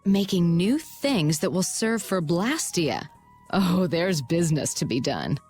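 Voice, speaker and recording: A woman's voice: fairly low pitch, big pitch swings, measured pace, darkish tone, faint music behind. A woman playing a poised, businesslike merchant leader in an English anime game dub. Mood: shrewd.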